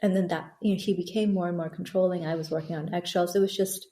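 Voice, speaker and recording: A woman in her thirties with a low, mellow voice speaks as an interview guest, recounting her own story in an earnest, reflective way. She often restarts her sentences. The room around her rings with noticeable echo. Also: fairly even intonation, fast talker. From born in Bangladesh, raised in Thailand. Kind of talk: candid conversational interview. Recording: echoey room.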